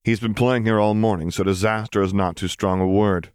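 A man voicing a wry, teasing, brisk young mother who works as a pilot in a story reading. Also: lowish voice, fairly even intonation, a little mellow, smooth, dry recording, studio quality, natural voice. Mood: dry.

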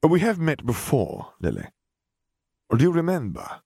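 A man voicing a haughty, menacing male stranger with a formal, measured manner, in an audiobook. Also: a little high, huge pitch swings, gravelly, mellow, breathy edge, no echo, clean studio sound, voice pushed up, heavily acted. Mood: composed, probing. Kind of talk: audiobook character voice.

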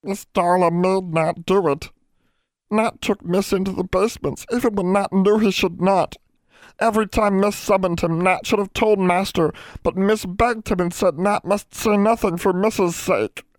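Tiny male house elf acted by a man, very high pitch, wailing, servile, guilt-ridden, pleading, storybook character. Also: steady tone, moderate pace, no room sound, natural voice. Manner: wailing, pleading, speaks of himself in third person. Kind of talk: audiobook character voice.

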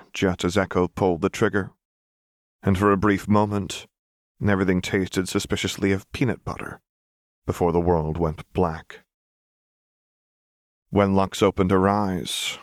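A man with a low-pitched voice narrates fiction in an audiobook style. The reading is scripted, and the sound is dry with no room to it. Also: no room sound.

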